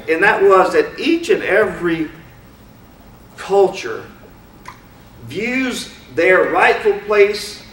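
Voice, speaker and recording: A man's voice: sits high, fairly bright tone. A man giving an informal lecture to an audience, speaking frankly and conversationally and asking many rhetorical questions. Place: lecture room. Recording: roomy hall, mic far off.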